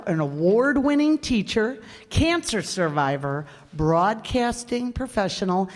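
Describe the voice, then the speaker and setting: A woman speaking informally as director and host, introducing performances to an audience. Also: medium pitch. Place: hall, live stage performance. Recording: reverberant hall.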